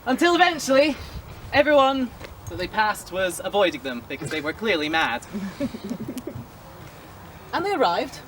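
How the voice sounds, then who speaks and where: A storyteller narrating a pirate tale live outdoors, quick and playful. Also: medium pitch, sing-song, a bit bright. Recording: outdoor street noise.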